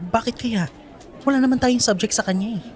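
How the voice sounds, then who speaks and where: A woman's voice: fairly high pitch, brisk, darkish tone, soft background music, some chatter behind, close to an everyday voice. A young woman with a Filipino accent acting a nosy, teasing, complaining college best friend in a radio drama, full of exclamations. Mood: flustered, nosy.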